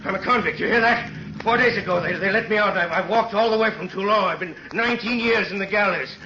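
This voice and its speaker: An actor in an old radio drama playing a desperate, embittered convict who stammers and repeats himself in a halting way. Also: male voice, high voice, close to an everyday voice. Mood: agitated, defiant. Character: desperate, embittered male convict, humble then defiant. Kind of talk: 1930s radio drama acting. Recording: noisy old radio recording, muffled, reverberant hall.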